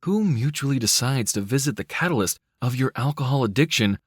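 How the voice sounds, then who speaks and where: A man giving a measured, cinematic, dramatic narration of a dark short story. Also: medium pitch, animated, slightly rough, no room sound, clean studio sound. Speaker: audiobook-style story narrator.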